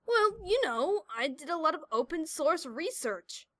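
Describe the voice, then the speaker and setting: A woman's voice: sits high, very animated, measured pace, a bit bright, no room sound, full character voice. A woman voicing a brash, stubborn female pegasus adventurer in an audio drama, with a cocky, defensive delivery. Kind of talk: cartoon-like audio drama character voice.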